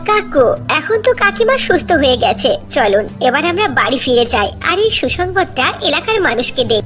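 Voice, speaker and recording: A woman's voice: high voice, sing-song, normal speed, fairly bright tone. A woman voicing a kind, earnest, polite young village daughter in a cartoon fairy tale. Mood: reassuring. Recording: music behind the voice.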